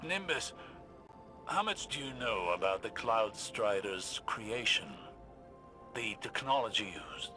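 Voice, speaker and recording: An actor playing a brooding, learned investigator haunted by regrets, speaking gravely and formally in game dialogue. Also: male voice, on the low side, expressive, slow pace, bright, forceful tone, full character voice. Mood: grave, suspicious. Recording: music behind the voice.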